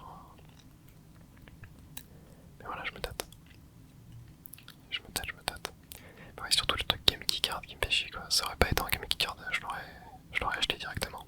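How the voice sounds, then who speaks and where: A young adult ASMR host whispering casual, rambling chat while playing a video game, with many hesitant fillers. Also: very high voice. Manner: soft unvoiced whisper throughout. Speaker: ASMR gaming channel host. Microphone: close mic.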